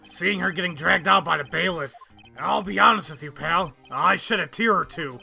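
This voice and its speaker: Gravelly, high-pitched man acting a gruff police detective. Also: moderate pace, bright, forceful tone, faint music behind, heavily acted. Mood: sincere, moved. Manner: blunt, folksy, calls people pal. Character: gruff, soft-hearted male police detective, folksy and loyal. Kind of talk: visual novel game fan dub acting.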